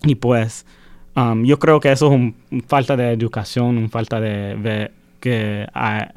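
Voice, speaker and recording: A young man student shares his experience in a relaxed discussion. His voice is smooth and clear, but he hesitates often and leans on fillers. The recording is dry. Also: mid-range voice, unhurried, no room sound. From United States. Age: young adult. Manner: hesitant, many filler sounds. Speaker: university student. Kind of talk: casual group discussion.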